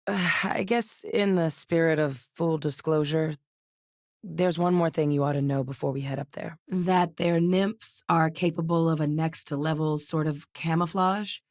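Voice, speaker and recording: A composed middle-aged woman with a low-pitched voice, recorded very dry. She is an earnest scientist and war veteran in a space video game, articulate and measured in her delivery. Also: steady tone, dry recording. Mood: troubled, reflective. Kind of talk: scripted video game dialogue.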